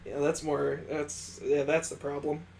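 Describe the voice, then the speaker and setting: Male let's play host, higher register, expressive story reading broken by sarcastic asides. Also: a little slow, creaky, a little hiss or hum. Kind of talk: visual novel read-aloud with joking asides.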